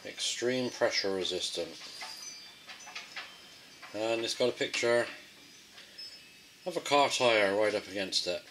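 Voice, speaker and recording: A man's voice: fairly low pitch, slow pace, pauses often, noisy background. A man presenting a casual gadget field test in a hesitant, off-the-cuff way.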